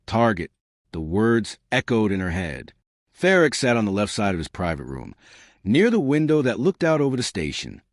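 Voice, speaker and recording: A man's voice: fairly low pitch, no room sound, clean studio sound. A man reading a suspenseful science fiction story aloud in a dramatic narrating style.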